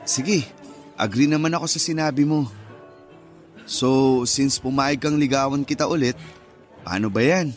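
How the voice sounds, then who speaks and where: Radio romance role: actor as hopeful young male suitor, medium pitch, casual and tentative, faint music bed. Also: measured pace, pauses often, natural voice. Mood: earnest. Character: earnest, hopeful young single man courting old sweetheart. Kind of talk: radio romance drama dialogue.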